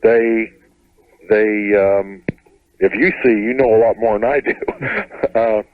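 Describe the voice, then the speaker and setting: Male radio caller. Lowish voice, halting careful explanation, narrow tinny telephone sound, no room echo. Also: fairly even intonation. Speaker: caller to radio advice show. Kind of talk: hesitant, careful explanation over the phone.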